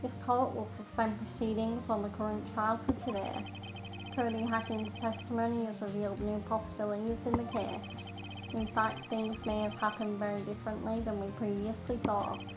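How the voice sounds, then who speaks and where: A woman's voice: fairly high pitch, little pitch movement, normal speed, lightly acted, poor audio. A woman with an English accent reading the lines of a stern older male judge from a courtroom game aloud, in a formal manner. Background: game music.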